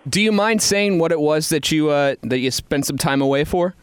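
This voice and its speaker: A male interview host with a fairly high voice and a very dry, close sound. He chats informally and jokingly, often putting himself down as he asks his questions. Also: normal speed, clear, resonant tone, no echo. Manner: self-deprecating, informal. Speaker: radio show host. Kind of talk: casual, joking interview chat.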